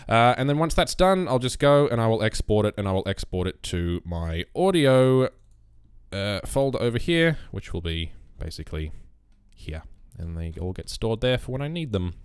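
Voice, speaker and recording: Young guy, bassy low voice, smooth and clear, dry close mic, easygoing tutorial talk. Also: moderate pace, no room sound. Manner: relaxed, rambling explanations. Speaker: YouTube gaming creator. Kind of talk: casual screen-recorded tutorial. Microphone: USB condenser mic on a boom arm.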